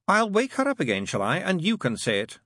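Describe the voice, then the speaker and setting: A sly, sardonic demon played by a male narrator from a storybook. He is impatient and sarcastic, his pitch raised and swinging widely, in a dry, close recording. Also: wildly swooping pitch, fairly quick, fairly bright tone, no room sound, exaggerated voice. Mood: coldly mocking. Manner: sarcastic, exasperated.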